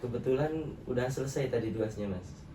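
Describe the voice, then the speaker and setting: A young man from Central Java with a Banyumasan Ngapak accent, answering as a student client in a soft-spoken, agreeable way. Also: medium pitch, fast. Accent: Banyumasan (Ngapak) Javanese. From Central Java, Indonesia. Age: young adult. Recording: roomy, distant mic with faint noise.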